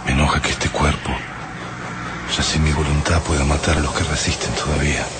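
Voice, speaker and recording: An Argentine actor playing a desperate captive man who thinks aloud gravely in a radio drama. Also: extremely low pitch, flat delivery, fairly quick. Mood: grim, resigned. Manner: grave, anguished, talking to himself. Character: desperate captive man, Juan, facing alien invaders. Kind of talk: radio drama acting, inner monologue. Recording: loud music behind the voice. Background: loud dramatic music.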